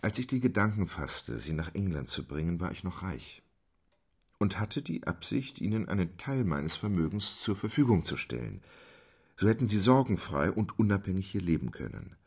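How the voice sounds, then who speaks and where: Radio play: stiff, formal gentleman, very low husky voice, measured. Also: sing-song, heavily acted. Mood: restrained. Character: reserved, formal English gentleman, middle-aged.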